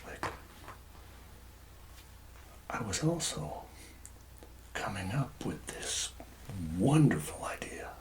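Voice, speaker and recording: Slow, soft-spoken man drifting into whispers. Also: lowish voice, sing-song. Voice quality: soft voice, often dropping into whispers. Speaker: ASMR performer playing a tax collector. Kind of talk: soft-spoken ASMR roleplay.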